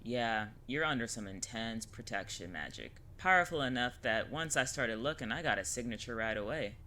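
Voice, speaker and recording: A woman's voice: extremely low pitch, lively intonation, moderate pace, sharp tone, slight background noise, full character voice. A woman playing a wry, teasing older witch mentor in an audio drama, talking in a casual, slangy way. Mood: serious, explaining. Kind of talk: scripted audio drama acting.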